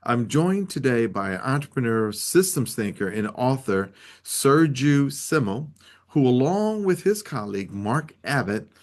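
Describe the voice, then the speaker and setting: A man hosting an interview program, speaking in a formal introductory style with measured, deliberate phrasing. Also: mid-range voice, very animated. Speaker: interview show host.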